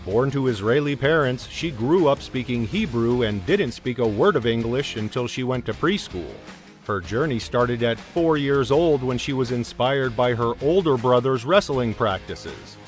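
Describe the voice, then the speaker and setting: Man, narrator, middle pitch, steady scripted delivery, faint music behind. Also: normal speed. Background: faint background music.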